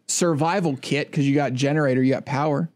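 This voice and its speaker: A man co-hosting a podcast, teasing his guest in animated chat. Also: fairly high pitch, brisk. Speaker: podcast co-host. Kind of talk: casual chat.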